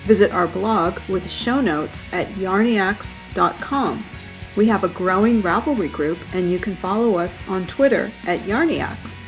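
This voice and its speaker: A woman co-hosting a casual podcast while getting over a cold, agreeable and quick with short affirmations. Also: mid-range voice, faint music behind, rough recording. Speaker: podcast co-host. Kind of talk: casual chat and podcast outro.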